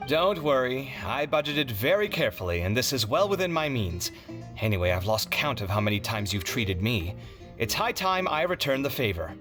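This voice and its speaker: A man plays an expressive young scholar in an anime game. His medium-pitched voice swings widely, articulate and quick to exasperation, with guitar music clearly behind him. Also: natural voice. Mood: cheerful. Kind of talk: anime video game voice acting.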